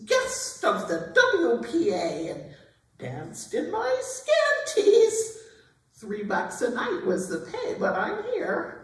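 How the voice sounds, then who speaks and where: A woman playing a resilient, wry, aging has-been burlesque star, reciting dramatically and deliberately on stage. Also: mid-range voice. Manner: dramatic, deliberate, defiant delivery. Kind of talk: theatrical recitation of song lyrics, readers theatre. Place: performance hall at an arts center. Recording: very echoey hall, distant mic.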